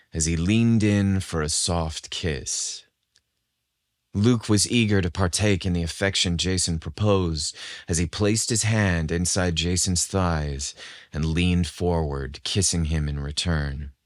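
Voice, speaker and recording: Male podcast narrator, deep register, deliberate scripted delivery, very dry sound. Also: no echo. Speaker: podcast host and story narrator.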